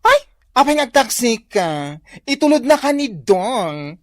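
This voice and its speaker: A woman's voice: on the high side, sing-song, measured pace, no echo. A woman playing a busy office coworker who hurriedly persuades a colleague to run an errand, in a comedy radio drama.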